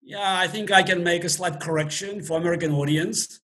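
A man, speaking English as a guest in a casual interview, halting with many fillers and restarts and a dry humor. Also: sits high, steady tone, a bit bright. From Azerbaijan. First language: Russian.

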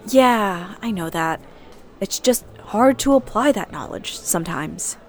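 A woman's voice: medium pitch, expressive, a little slow. An actress playing a talkative, candid, newly single woman on a first date in a naturalistic audio drama. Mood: rueful, confiding.

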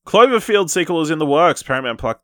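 A man's voice: on the high side, lively intonation, quick pace, bright, forceful tone, dry recording, studio quality. A man hosting a podcast, chatting casually about film and reading out news items.

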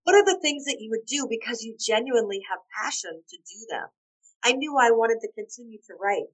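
A hoarse woman in her forties, a guest telling stories animatedly, laughing often and acting out quotes. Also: fairly high pitch, expressive, brisk, rough recording. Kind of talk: animated storytelling.

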